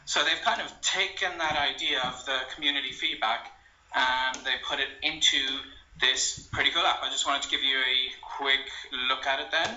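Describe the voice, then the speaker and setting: A man, a language blogger, casually walking through an app on his phone, relaxed and meandering with lots of ums and uhs. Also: mid-range voice, moderate pace, a little hiss or hum. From Ireland. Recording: roomy, slightly dull home recording.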